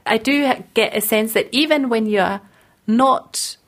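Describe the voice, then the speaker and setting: A woman's voice: on the high side, unhurried. A woman researcher explaining her work in an interview, thoughtfully repeating phrases as she forms her points.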